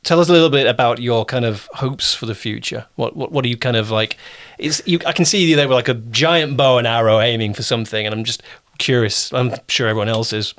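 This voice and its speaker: A British man with a medium-pitched voice, acting as interviewer. He speaks casually and inquisitively, in a conversational manner. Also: fairly quick, few pauses, fairly bright tone. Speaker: interviewer, producer.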